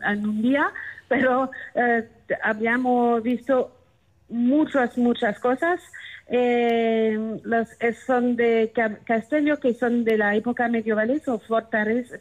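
A woman from France answers interview questions in an informative way. Her voice sits on the high side and she speaks very slowly, with a French accent and the halting word searches of a non-native speaker. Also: highs cut off. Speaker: interview guest, tourism office deputy director.

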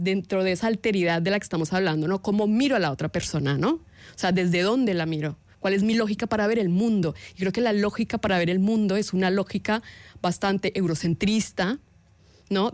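A woman's voice: mid-range voice, darkish tone, dry recording. A woman with a Colombian accent, a guest actress in an interview, talking in a reflective, argumentative way and often ending her points with rhetorical questions. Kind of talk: radio-style interview conversation.

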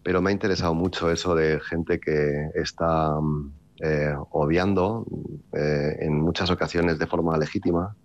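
A man with a very low voice, from northern Spain, chatting about his writing in a loose, wry way that circles back on itself. The sound is dull and lo-fi. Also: fairly even intonation, moderate pace, no room sound. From Basque Country, Spain. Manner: rambling, many restarts and fillers, wry. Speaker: author. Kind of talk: relaxed interview talk.

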